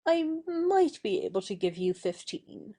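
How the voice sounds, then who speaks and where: A woman's voice: medium pitch, big pitch swings, unhurried, warm tone. A woman playing a proud, impulsive young society woman with a theatrical, changeable manner in an audio stage play. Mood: eager, impulsive.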